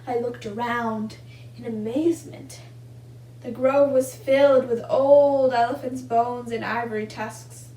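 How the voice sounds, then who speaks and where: A woman's voice: a little high, fairly even intonation, a little slow, dark tone, poor audio. A young woman reading a children's story and giving simple craft instructions. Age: young adult. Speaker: volunteer storybook reader and craft demonstrator.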